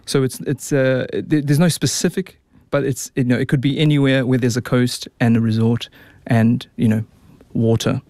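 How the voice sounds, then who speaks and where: Chatty young New Zealand tenor. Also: male voice, medium pitch, flat delivery, normal speed, darkish tone, no room sound, clean studio sound. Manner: chatty, many restarts and fillers. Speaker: opera tenor, guest. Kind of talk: relaxed radio interview.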